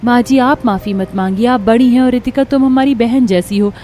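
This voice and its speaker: A woman's voice: on the high side, a little fast, warm tone, a little hiss or hum, natural voice. A woman voicing an earnest, dutiful young daughter-in-law in a cartoon story. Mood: eager, concerned.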